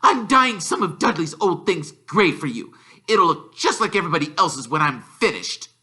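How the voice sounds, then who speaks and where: A man voicing a sharp, impatient middle-aged aunt in a storybook reading, curt and snappish. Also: fairly high pitch, big pitch swings, moderate pace, pitched above the performer's own voice.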